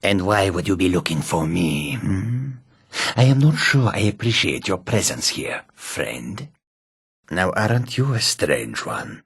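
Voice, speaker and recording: An actor playing a haughty, menacing cat-folk merchant in a fantasy video game, curt and imperious in manner. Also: male voice, low voice, very animated, measured pace, husky, heavily acted. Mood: suspicious, dismissive. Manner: curt, imperious, third-person self-reference.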